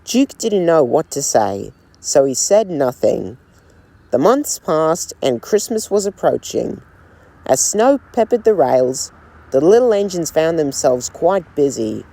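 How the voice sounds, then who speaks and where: Guy reading a train story real slow, soft mellow voice. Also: gravelly. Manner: slow, gentle storytelling with lively pitch. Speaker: narrator. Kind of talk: scripted storybook narration.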